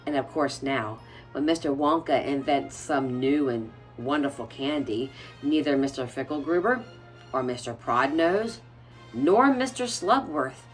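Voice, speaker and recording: A woman reading a children's storybook aloud expressively, like a teacher, with soft music behind her. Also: sits low.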